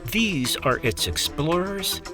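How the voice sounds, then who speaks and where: Lively documentary narrator over music. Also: medium pitch, takes it slowly. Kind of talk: scripted narration. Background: music bed.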